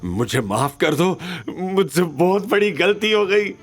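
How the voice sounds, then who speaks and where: Cowardly audio drama villain, played by a man: raised pitch, stammering, desperate pleading. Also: sing-song, takes it slowly, a bit bright, faint music behind, exaggerated voice. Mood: terrified, pleading. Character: cowardly rich villain begging desperately for mercy. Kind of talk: Hindi audio drama acting.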